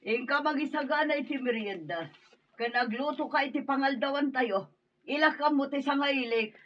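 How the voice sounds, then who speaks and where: A woman's voice: sits high, normal speed, highs cut off, lightly acted. A woman playing a scheming, disapproving middle-aged mother in an Ilocano radio drama, complaining and bossing as she plots. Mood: bossy. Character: scheming, disapproving mother plotting against her son's wife.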